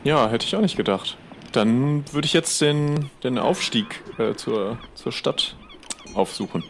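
Gravelly, chatty man role-playing. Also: mid-range voice, expressive, a little slow, a little hiss or hum. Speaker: player in an improvised pirate role-play podcast. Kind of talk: casual in-character improvised dialogue.

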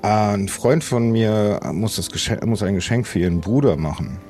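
A man's voice: on the low side, moderate pace, a little mellow. A man playing along in an improvised adventure audio play, conversational, polite and hesitant. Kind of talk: improvised in-character roleplay, conversational. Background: faint music.

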